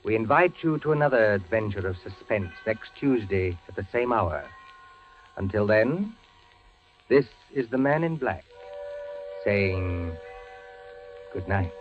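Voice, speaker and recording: A man's voice: fairly low pitch, expressive, measured pace, long pauses, faint music behind. A man hosting a thriller series with formal, ominous scripted narration in 1940s radio style. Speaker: host of a thriller anthology. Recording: dull 1940s radio recording.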